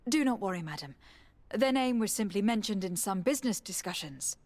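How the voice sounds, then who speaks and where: A woman's voice: mid-range voice, lively intonation, a little fast, darkish tone, dry recording, close to an everyday voice. An actress playing a composed, diplomatic noblewoman envoy in a video game, formal and polite. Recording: dry voice, faint game music. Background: faint music.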